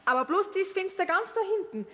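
A fussy, polite Bavarian housewife talks briskly in a high voice. She is firm and chatty, in a children's radio play with a long hall reverb. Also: lively intonation, sharp tone, exaggerated voice. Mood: protesting.

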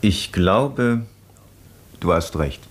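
An actor playing a courteous, scrupulous aristocratic baron in a radio play, speaking in a formal, measured and considerate way. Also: male voice, low voice, expressive, husky, full character voice. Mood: doubtful, concerned.

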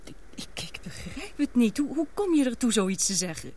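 An actress playing an earnest young woman in a radio play, hesitant, questioning and expressive. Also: fairly high pitch, moderate pace, a little hiss or hum. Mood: anxious, puzzled. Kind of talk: radio drama acting.

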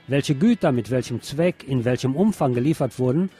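A male radio presenter reading out press reports and commenting on them in a deliberate, emphatic way. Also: mid-range voice, animated, mellow, breathy edge. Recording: music behind the voice. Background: background music.

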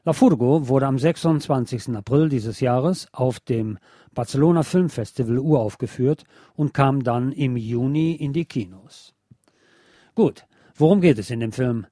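A man presenting a scripted film review as a radio host, in a measured, explanatory way. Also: mid-range voice, warm tone, no echo, studio quality.

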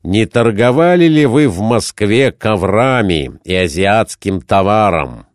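A man voicing a blunt, suspicious merchant in a storybook reading, questioning in a measured, pointed and persistent way. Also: on the low side, lively intonation, dry recording, clean studio sound. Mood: suspicious, probing.

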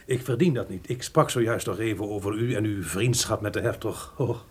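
An actor playing a sly, obsequious mercenary soldier in theatrical radio drama, with a husky voice and formal, deferential speech. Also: male voice, on the low side, fairly quick. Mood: falsely modest.

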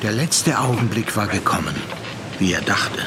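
An actor narrating a literary radio play as a grave, reflective storyteller with measured delivery. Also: male voice, fairly low pitch, animated, background noise, natural voice.

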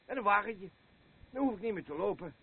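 A man acting a boisterous, rambling alcoholic husband in a Dutch radio play, halting and swinging between elation and weariness. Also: sits high, expressive, slightly rough, narrow, tinny audio, heavily acted. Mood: excited, rambling.

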